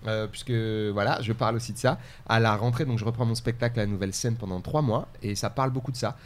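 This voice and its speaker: A man from France, a stand-up comedian, chatting fast and enthusiastically as a podcast guest with many fillers. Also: mid-range voice. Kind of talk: casual podcast chat.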